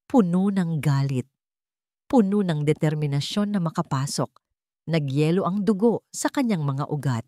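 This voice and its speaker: A woman's voice: low voice, animated, moderate pace, warm tone, no room sound, clean studio sound. A woman narrating a scripted romance story in a dramatic style, as a storyteller.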